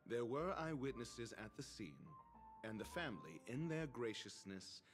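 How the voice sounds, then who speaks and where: Haughty doctor, dramatically varied pitch. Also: male voice, very animated, music in the background. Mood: condescending. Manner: pompous, formal, measured. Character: haughty, learned male doctor, coldly condescending. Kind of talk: video game voice acting.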